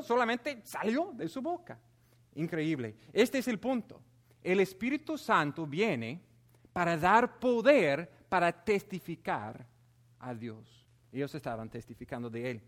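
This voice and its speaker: A man preaching a Bible teaching sermon in a conversational, animated way, with frequent hesitations. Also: high voice.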